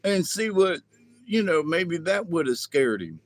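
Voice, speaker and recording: A man's voice: on the high side, very animated, unhurried, no echo. A man voicing a short, dim-witted male alien sidekick with a raised, earnest, folksy voice. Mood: unsure.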